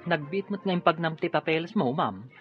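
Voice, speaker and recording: A man playing a deferential, chatty, humble household driver in a radio drama, with a husky voice. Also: a little high, lively intonation, a little fast, highs cut off, music in the background, close to an everyday voice. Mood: humble, wistful. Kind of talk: Filipino radio drama acting.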